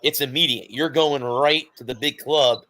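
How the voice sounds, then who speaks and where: Male co-host, casual sports talk, rambling explanations, mid pitch, dry close sound. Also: steady tone, moderate pace, bright, forceful tone, no echo. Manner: rambling, self-correcting explanations.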